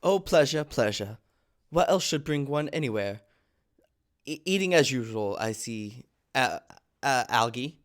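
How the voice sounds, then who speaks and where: Man playing an earnest, lofty gentleman in love. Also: medium pitch, lively intonation, unhurried, lightly acted. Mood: bored, airy. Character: earnest, exasperated upper-class gentleman in love. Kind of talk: stage comedy table reading.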